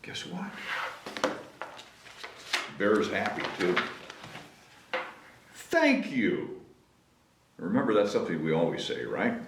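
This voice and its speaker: A man reading a picture book aloud to children in a playful, coaxing way, stretching words for effect and inviting listeners to join in. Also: lowish voice. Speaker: story time reader, host. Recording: echoey room, distant microphone.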